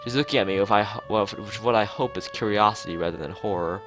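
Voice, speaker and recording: A man reading a visual novel aloud as a let's player, casually, with halting, rambling asides. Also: fairly low pitch. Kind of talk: casual game narration with side commentary. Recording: music behind the voice. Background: game music.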